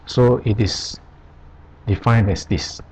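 A man presenting an unscripted software tutorial, explaining step by step in short, halting phrases. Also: fairly low pitch, fairly even intonation, slightly rough. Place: voice-over on a screen recording.

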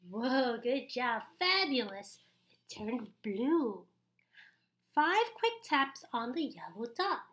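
A young adult woman reading a picture book aloud to small children in an animated, exaggerated, encouraging way, with pauses for them to join in. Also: on the high side. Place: small indoor room.

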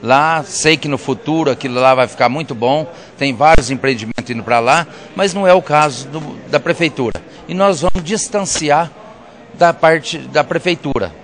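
A man's voice: on the high side, a bit bright. A middle-aged man with a Brazilian Portuguese accent, a councilman giving a firm, opinionated interview answer in a council chamber into a handheld mic. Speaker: city councilman. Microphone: handheld reporter mic.

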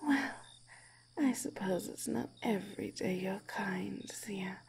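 A woman voicing a cruel, hungry giant snake woman in a teasing ASMR roleplay, breathy and whispery, savoring and gloating. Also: medium pitch, darkish tone, a little hiss or hum. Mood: menacing, teasing. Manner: slow, teasing, gloating, savoring each phrase.

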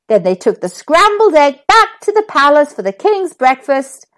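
A woman reading a children's story aloud expressively, as the host of a storytime. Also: high-pitched. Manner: animated, deliberate.

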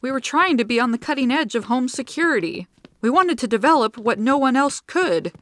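A woman's voice: high-pitched, lively intonation, a little fast, fairly bright tone, voice pushed up. A woman voicing a skinny, fretful older male scientist with a mournful, fussy way of talking.

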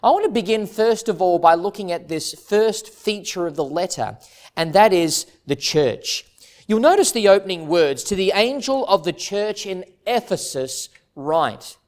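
A man preaching an expository sermon, measured and earnest, reading scripture with emphasis. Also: high voice, animated. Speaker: pastor. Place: church stage in front of a plain backdrop.

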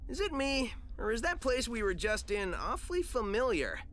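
A man's voice: very high voice, sing-song, normal speed, a little hiss or hum, exaggerated voice. A man voicing a cocky, easygoing young hedgehog hero in a game cutscene, breezy and confident. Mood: puzzled, breezy. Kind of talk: video game cutscene voice acting. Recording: loud music behind.

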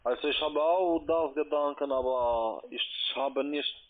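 A man's fairly high voice comes through a narrow, tinny phone line. He has a foreign accent and speaks in halting, broken phrases, like a call centre agent working through a conversation. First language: not German.

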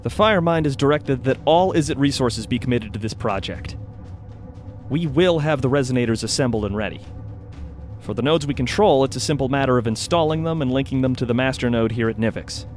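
A man playing a brisk, weary wizard leading a war council in an audio drama, measured and businesslike. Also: medium pitch, darkish tone, close to an everyday voice. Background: background music.

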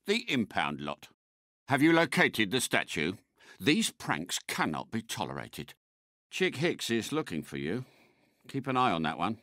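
A courteous British secret agent car in a video game. The delivery is urbane and measured, with precise diction and hugely varied intonation. The tone is bright and crisp-edged, and the temper stays unflappable. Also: male voice, fairly low pitch, no echo, clean studio sound, full character voice. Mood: stern.

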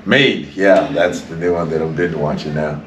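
A man's voice: a bass voice, steady tone, moderate pace. A man from New Mexico giving reflective, conversational answers as a performer in an interview, recorded at a distance. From New Mexico, United States. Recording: roomy, distant mic, clear background noise.

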